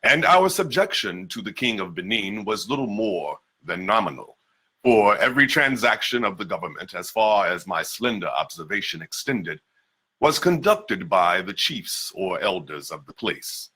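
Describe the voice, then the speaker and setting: A man's voice: fairly low pitch, big pitch swings, pushed and bright, breathy edge, heavily acted. A man reading as a dignified memoirist. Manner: measured, formal. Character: man recounting his African childhood, dignified. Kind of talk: dramatic reading of an 18th-century memoir.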